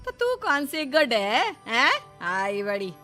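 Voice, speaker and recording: A woman acts out a middle-aged mother-in-law from an animated moral story. She scolds and taunts with heavy sarcasm in a fairly high, nagging voice, over background music. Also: slow, exaggerated voice. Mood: bitter nagging.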